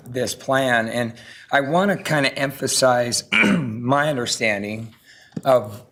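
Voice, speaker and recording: A hoarse man who is losing his voice, a property co-owner giving halting public testimony at a council podium and clearing his throat often. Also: medium pitch, fairly bright tone.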